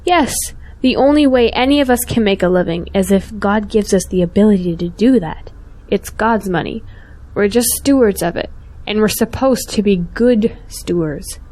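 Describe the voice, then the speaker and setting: A woman's voice: mid-range voice, moderate pace, darkish tone, natural voice, poor audio. Woman acting as a devout, earnest young believer. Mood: reproachful, insistent. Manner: earnest, morally insistent. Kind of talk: audio drama dialogue.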